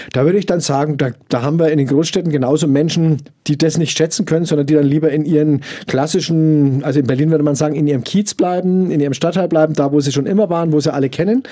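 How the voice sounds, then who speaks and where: Man, Franconian accent, middle-aged, interview guest, measured, halting, warm dark tone. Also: on the high side. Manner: measured, searching for words, many fillers. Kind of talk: thoughtful interview answers.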